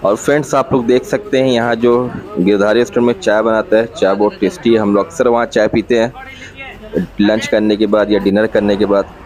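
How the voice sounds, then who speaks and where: A man's voice: lowish voice, flat delivery, fairly quick, a little mellow, low-quality recording. A man in his thirties from India, casually narrating a family travel vlog at a roadside restaurant in the evening and recorded on a phone camera. He is chatty, repeats his points, and mixes English words into Hindi.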